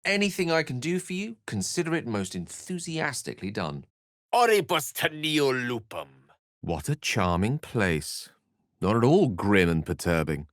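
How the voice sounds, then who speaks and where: A man's voice: medium pitch, sing-song, moderate pace, no room sound, clean studio sound, lightly acted. A man playing an eloquent, witty and self-assured wizard in a video game, with articulate, florid, wry delivery. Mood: tender, then incanting. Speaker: game character voice actor. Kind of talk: theatrical video game character dialogue.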